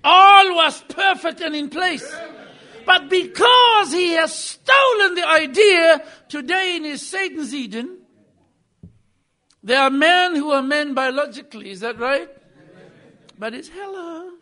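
A man preaching a sermon as a visiting preacher, emphatic, swinging between loud bursts and quiet asides. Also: extremely high pitch, lively intonation, slow. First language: not English.

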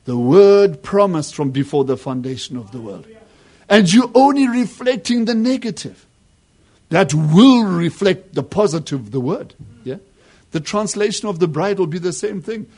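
A man preaching a sermon with fervent emphasis that drops into hushed asides. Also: high-pitched, lively intonation, normal speed.

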